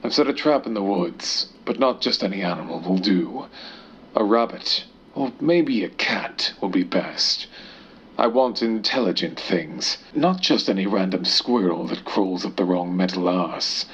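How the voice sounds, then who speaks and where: A man playing a cold, scheming scientist who dictates secret experiment logs in a measured, disdainful, clinical manner, as an audio horror monologue. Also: low-pitched, expressive, breathy edge, full character voice. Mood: disdainful, calculating. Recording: dull, muffled lo-fi tape-style recording.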